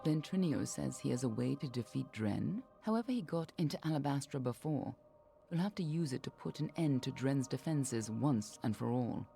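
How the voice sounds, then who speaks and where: A woman's voice: rumbling low, animated, moderate pace, creaky, exaggerated voice. A woman playing a guarded, sardonic warrior-spy in a fantasy video game, speaking in a dry, wry, confiding way. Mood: wry resolve. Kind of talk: video game character dialogue. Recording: music behind the voice.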